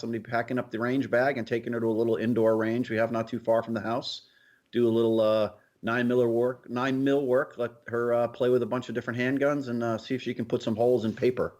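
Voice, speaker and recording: A man's voice: medium pitch, a little mellow. A middle-aged man with an American accent chats casually as a podcast co-host, slangy, joking and laid-back. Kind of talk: casual chat.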